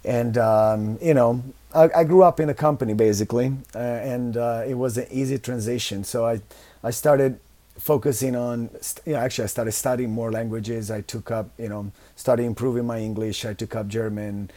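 A man in his thirties speaking English with an Italian accent, Italian being his first language. His voice is mellow and warm at a middling pitch. He rambles easily as a guest, leaning on "you know" and restarting his sentences. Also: steady tone, moderate pace. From Italy. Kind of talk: casual interview chat.

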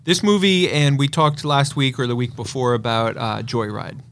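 A man with an average-pitched voice speaks as a podcast co-host. His delivery is casual film review chat, recorded with some faint noise behind him. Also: fairly even intonation, moderate pace, fairly bright tone. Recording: clear background noise.

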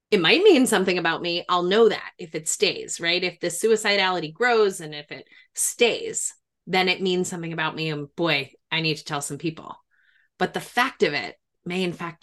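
Low-voiced woman, earnest and reflective. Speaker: therapist and grief expert. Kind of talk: reflective conversational interview.

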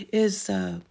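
A middle-aged woman from Canada, hosting a podcast and telling a family story in casual chat, clearing her throat as she loses her voice. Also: medium pitch, mellow. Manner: laughing, self-deprecating jokes. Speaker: podcast host.

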